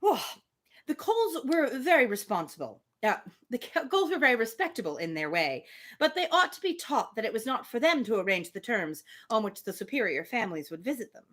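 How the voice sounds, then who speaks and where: Female book-reading host, expressive and swooping in pitch, crisp forward tone, informal commentary between passages. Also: a little fast. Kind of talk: reading a novel aloud with casual asides.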